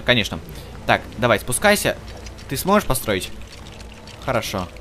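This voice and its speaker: A man's voice: fairly high pitch, bright, forceful tone, a little hiss or hum. A man giving casual, chatty gameplay commentary, thinking aloud and talking to the characters on screen as he plays. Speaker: video game let's-play host. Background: video game music.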